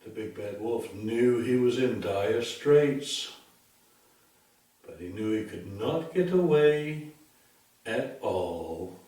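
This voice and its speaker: A man telling a darkly comic bedtime story in a deliberate, theatrical, mock-sympathetic way. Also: lowish voice, lively intonation, mellow. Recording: very echoey room, distant microphone.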